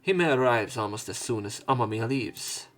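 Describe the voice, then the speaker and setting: A man's voice: mid-range voice, lively intonation, moderate pace. A man reading a visual novel aloud as a let's play host, adding informal, sweary commentary.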